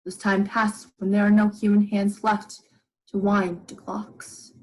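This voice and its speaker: A haunted astronomy professor, played by a young woman, narrates a journal of survival. The voice is husky and halting, weary and brooding, in a radio play monologue. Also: mid-range voice, flat delivery, a little mellow, natural voice, poor audio. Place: living room at home.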